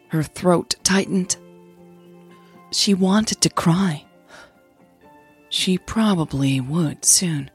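A woman narrating a scripted romantic audio drama in a soft, measured storytelling manner. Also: lowish voice, breathy edge. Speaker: narrator and podcast host.

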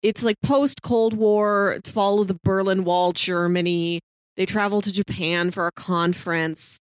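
A woman's voice: mid-range voice, fairly even intonation, unhurried, clear, resonant tone, no room sound. A woman co-hosting a podcast, giving her opinions in casual review chat. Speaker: podcast co-host.

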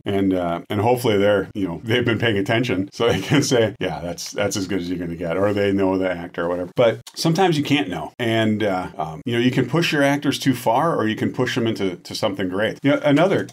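A man's voice: lowish voice, expressive, fast talker, hardly any pauses. A man speaking casually as an interview guest, reflective and informal, telling stories and acting out quoted remarks. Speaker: guest, audio drama writer and director.

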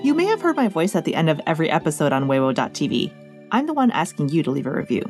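A woman's voice: low-pitched, very animated, fast, over music. An American woman hosting a podcast, reading a script with chatty, playful asides addressed straight to the listener. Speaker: podcast host and reporter.

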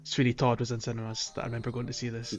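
A man's voice: medium pitch, completely flat delivery, very fast. A man co-hosting a gaming podcast, talking casually in a hesitant, rambling way. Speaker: podcast co-host.

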